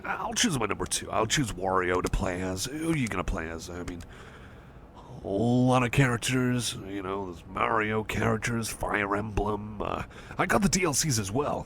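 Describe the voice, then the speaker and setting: A man's voice: fairly low pitch, gravelly, fairly bright tone, a little hiss or hum. A man playing a shy, friendly cyborg chum in an ASMR roleplay, hesitant and rambling, often apologising and repeating himself. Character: shy, friendly male cyborg chum, eager but self-doubting. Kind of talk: ASMR personal-attention roleplay, soft one-sided conversation.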